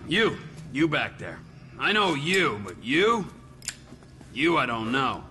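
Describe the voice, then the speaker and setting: Forceful, volatile middle-aged criminal man. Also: medium pitch, very animated, takes it slowly, slight background noise, heavily acted. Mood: taunting, suspicious. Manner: aggressive, taunting. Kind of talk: video game voice acting.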